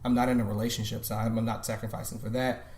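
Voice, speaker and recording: Man, 30s, reflective, frequent restarts, average pitch, roomy recording. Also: flat delivery, fast talker, a little mellow, slight background noise. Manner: thoughtful, restarts often. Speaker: podcast guest. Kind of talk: reflective casual conversation. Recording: roomy echo.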